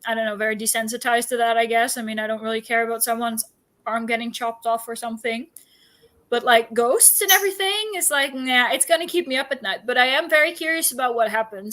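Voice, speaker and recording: A woman's voice: fairly high pitch, sharp tone. A woman co-hosting a livestream, chatting casually in an informal, self-deprecating way. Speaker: co-host of reading livestream. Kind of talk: casual chat.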